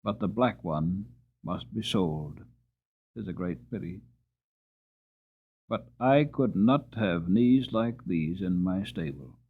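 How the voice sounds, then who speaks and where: A man's voice: sits low, lively intonation, slow pace, pauses often, slightly rough, narrow, tinny audio, full character voice. Man playing an annoyed, emphatic English earl. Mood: regretful. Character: annoyed, commanding English earl, proud horse owner.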